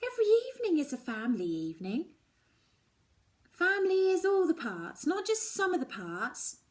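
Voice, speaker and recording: A woman's voice: very high-pitched, wildly swooping pitch, moderate pace, pitched above the performer's own voice, exaggerated voice. A woman voicing a dreamy, loving mother from a storybook, coaxing and emphatic in her delivery. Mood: earnest.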